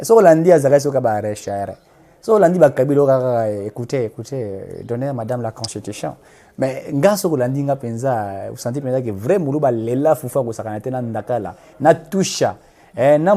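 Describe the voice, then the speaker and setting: A man's voice: medium pitch, expressive, moderate pace, warm tone. A man with a Congolese accent speaking as a political activist in an interview, emphatic and impassioned, repeating points and switching between two languages.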